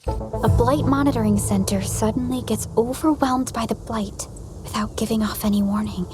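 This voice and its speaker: Actress as decisive game operations leader: woman, fairly high voice, calm, music behind. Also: normal speed, close to an everyday voice. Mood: calm, analytical. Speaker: game character. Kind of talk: video game voice acting.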